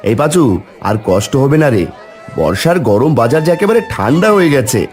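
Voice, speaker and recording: A man voicing village menfolk in a cartoon, speaking in an animated, homely way. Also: medium pitch, fairly quick, faint music behind, lightly acted. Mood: cheerful. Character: village men: concerned headman and eager helpers. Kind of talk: cartoon character dialogue.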